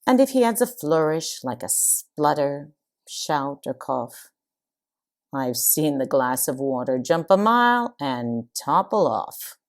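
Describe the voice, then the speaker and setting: An older woman playing an arch long-married wife, reciting a comic verse monologue in a theatrical, measured way. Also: low-pitched, big pitch swings, exaggerated voice. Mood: arch, playful. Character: long-married wife cheerfully confiding about snoring.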